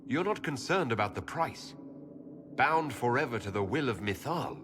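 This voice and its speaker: A man playing a cautious, commanding leader of heroes in a fantasy game, speaking in a measured, serious way. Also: mid-range voice, animated, background noise, lightly acted. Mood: challenging. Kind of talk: dramatic fantasy game acting.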